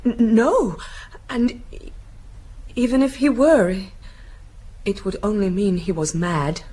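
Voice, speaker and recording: Slow, fearful actress as a fragile young woman. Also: mid-range voice, warm tone, a little hiss or hum, natural voice. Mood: anguished, confiding. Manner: hesitant, breathy, dramatic. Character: frightened, fragile young foreign gentlewoman, melancholy, secretive. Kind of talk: radio drama acting.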